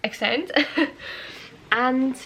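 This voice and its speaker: Slow-talking woman with Yorkshire-tinged accent. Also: high voice. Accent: Yorkshire-tinged English picked up as an Austrian speaker. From Austria. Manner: slow, thoughtful, with fillers and soft laughs. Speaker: knitting vlogger. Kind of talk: casual Q&A vlog.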